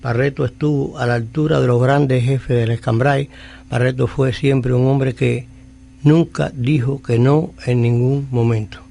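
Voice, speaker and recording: An older man with a Cuban accent speaking on a radio panel in a measured, solemn tribute style. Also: mid-range voice, steady tone, vocal fry, warm tone. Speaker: exile veteran, radio panelist.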